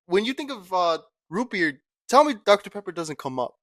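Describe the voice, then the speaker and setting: Lively young man joking on a podcast. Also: high-pitched, fairly quick, no room sound, studio quality. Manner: swearing, joking, laughs a lot. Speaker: podcast co-host. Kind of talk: casual chat.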